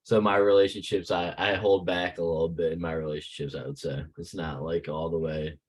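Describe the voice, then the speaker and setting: A young man, a student, sharing his thoughts in an online class discussion, low-key and halting as he searches for words. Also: little pitch movement, slightly rough.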